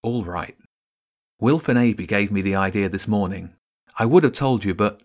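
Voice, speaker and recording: Storybook: man voicing a weary schoolboy, quiet and plain. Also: fairly low pitch, normal speed. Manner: hushed, nonchalant. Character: nervous, tired schoolboy James.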